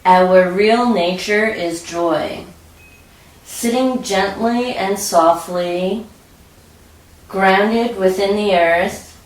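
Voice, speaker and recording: A woman narrating a scripted guided meditation in a measured, deliberate way. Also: on the low side. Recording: roomy echo, distant from mic.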